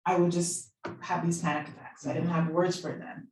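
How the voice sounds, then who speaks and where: A woman's voice: on the low side, little pitch movement, moderate pace, a little mellow. A woman from Barbados sharing personal memories in a candid, reflective way on a panel. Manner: reflective, candid, occasional laughs. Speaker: panel guest. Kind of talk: casual personal storytelling. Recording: echoey room, distant mic.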